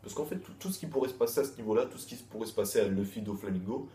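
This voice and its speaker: A man's voice: lowish voice, very fast, warm tone. Man rambling in an echoey room. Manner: loose, repetitive, with frequent restarts and crude slang. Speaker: manga chapter reviewer. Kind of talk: casual rambling review. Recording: echoey room, faint background noise.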